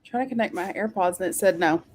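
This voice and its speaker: A woman's voice: fairly low pitch, fast talker. Flippant woman in casual online chat. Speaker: co-host of a book readalong live show. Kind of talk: casual chat, book discussion.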